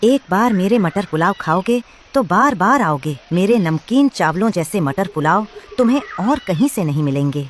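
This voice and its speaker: A woman's voice: mid-range voice, lively intonation, normal speed, few pauses, a little hiss or hum, close to an everyday voice. A woman voicing a boastful, blunt male crow who sells food in a Hindi children's cartoon. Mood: boastful, eager. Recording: music under the voice.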